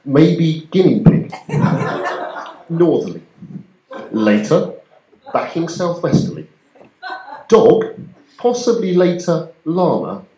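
A man's voice: fairly high pitch, big pitch swings, slow pace, long pauses, warm tone. Man doing wry stand-up. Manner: mock shipping-forecast delivery, wry. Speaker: stand-up comedian. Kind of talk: stand-up comedy routine. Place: community centre hall, live audience. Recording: echoey hall with background noise.

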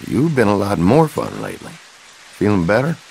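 An actor playing a kindly, awkward middle-aged man in a game drama, with a Southern American accent and a folksy, halting way of talking. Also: fairly low pitch, huge pitch swings, creaky, darkish tone, a little hiss or hum, exaggerated voice, rough recording. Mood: awkward, teasing. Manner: folksy, halting, fumbling for words. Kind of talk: naturalistic dramatic game dialogue.

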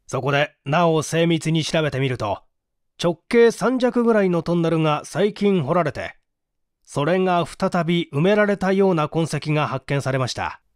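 A man reading a mystery story as dramatic narration, with measured, theatrical phrasing and deliberate pauses. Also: fairly high pitch, animated, no room sound, studio quality. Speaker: audiobook reader and small-theatre actor.

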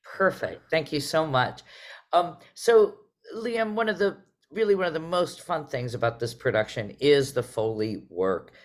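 A woman's voice: low voice, animated, measured pace. A middle-aged woman, hosting a theater talk in a conversational way over a video call. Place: indoors on a video call, virtual theater backdrop.

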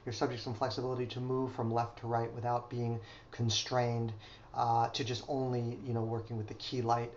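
A man walks listeners through studio lighting setups in a steady, explanatory voice-over, pausing to check in with his audience. The recording sounds a little dull, with a faint hiss underneath. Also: medium pitch. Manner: explanatory, frequent "okay?" check-ins. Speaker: photography instructor. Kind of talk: instructional voice-over.

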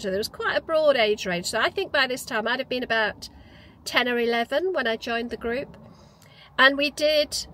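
A Geordie woman in her fifties talks from the driver's seat of a parked car into a clip-on mic. Her voice sits a little high. She tells her story in a chatty, reflective way and often starts a phrase over. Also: a bit bright, rough recording. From North East England. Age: 50s. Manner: chatty, reflective, with frequent restarts. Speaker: vlogger. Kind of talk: casual personal storytelling. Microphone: lapel mic.

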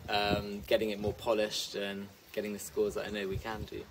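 Low, flat-voiced young man, noisy outdoors. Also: normal speed, far from the mic. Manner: easygoing, many ums, short agreeing yeahs. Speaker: dressage rider. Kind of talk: casual interview answers. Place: outdoors in front of a hedge.

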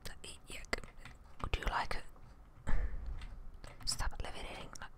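Whispering woman doing ASMR game commentary. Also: normal speed. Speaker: gaming streamer. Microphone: close microphone in front of her, headphones on.

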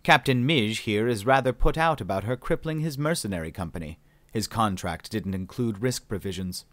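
A man reading an audiobook, voicing a calm, condescending male alien scientist with polite, mocking, unhurried speech. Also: on the low side, dry recording, close to an everyday voice. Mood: smug, condescending.

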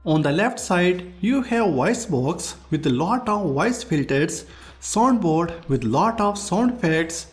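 A man with a high-pitched voice narrates a scripted software tutorial. His intonation is very lively, moving up and down as he presents as a tech review host. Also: slow, faint music behind.

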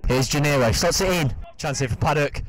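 A man's voice: on the high side, fairly quick. A man giving enthusiastic football match commentary.

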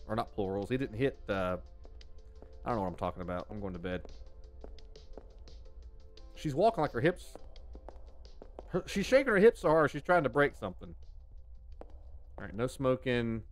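A man, chatting and joking on a headset mic while streaming a game from his home desk. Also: medium pitch. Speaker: gaming streamer. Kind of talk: casual live commentary while playing.

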